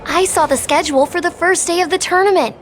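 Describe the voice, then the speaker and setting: An actress voicing an excitable, impatient young woman spectator in a game cutscene. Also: fast talker, soft background music. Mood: chatty. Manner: impatient, chatty, very high voice. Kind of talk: game voice acting.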